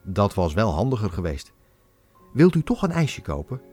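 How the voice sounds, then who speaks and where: A man's voice: lowish voice, big pitch swings, normal speed, a little mellow, slightly rough, soft background music. A man voicing an honest, naive young male ice-cream seller who speaks earnestly and guilelessly.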